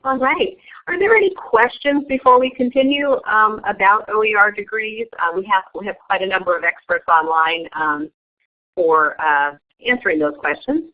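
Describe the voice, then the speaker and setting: A woman's voice: medium pitch, moderate pace. A woman hosting an online meeting, presenting with frequent fillers and restarts. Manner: hesitant, many fillers. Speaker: webinar host. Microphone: web conference audio. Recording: tinny phone-like line.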